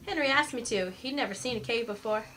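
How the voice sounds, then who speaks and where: Woman playing a slangy, high-voiced lady crook. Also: fast talker, bright, forceful tone, low-quality recording. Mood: feigned innocence. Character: small woman crook, slangy, brazen murder suspect. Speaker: amateur voice actor in an audio mystery. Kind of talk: scripted radio mystery acting.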